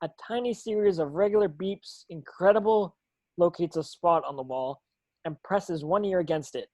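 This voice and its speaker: A man's voice: high-pitched, normal speed, a little mellow, poor audio. A man narrating stage directions from a script at a table read, sometimes tripping over words.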